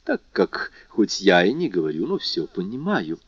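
A male narrator plays a phlegmatic English lord from a classic novel, low, clipped and aloof. The audiobook recording is dry and slightly dull. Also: huge pitch swings, moderate pace, slightly rough, no echo, exaggerated voice. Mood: cool, aloof.

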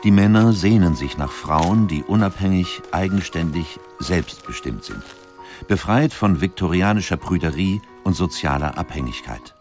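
A man reading scripted documentary narration in a measured, articulate manner. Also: low voice, lively intonation, darkish tone, faint music behind.

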